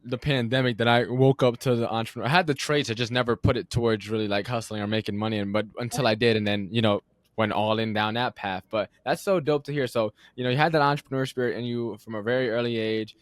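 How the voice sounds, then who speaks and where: A man's voice: medium pitch, quick pace, no echo. Laid-back young male podcast host, dry close sound. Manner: relaxed and conversational, uses slang. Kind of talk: casual interview chat.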